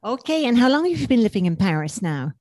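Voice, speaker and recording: A woman's voice: fairly high pitch, very animated, fast talker, a little mellow, dry recording, clean studio sound. A woman hosting an interview podcast, asking encouraging questions and often using the guest's name. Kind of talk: hosted interview.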